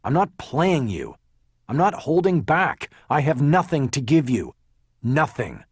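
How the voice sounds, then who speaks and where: A man voicing a defiant, sharp-tongued defense lawyer, indignant and emphatic, in an audiobook crime novel. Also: mid-range voice, big pitch swings, normal speed, slightly rough, no echo, clean studio sound, pitched above the performer's own voice. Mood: firm, exasperated.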